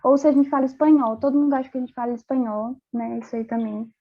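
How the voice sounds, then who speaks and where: High-voiced Brazilian woman over a tinny call. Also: steady tone, fast talker. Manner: chatty, laughs often, many fillers. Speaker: interview guest, former exchange student. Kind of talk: casual interview chat. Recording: tinny phone-like audio.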